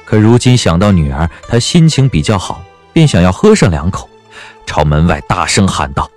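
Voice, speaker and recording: A man with a very low voice narrates a dramatic audiobook. His delivery is measured yet lively in intonation, with faint music underneath. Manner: measured, expressive storytelling.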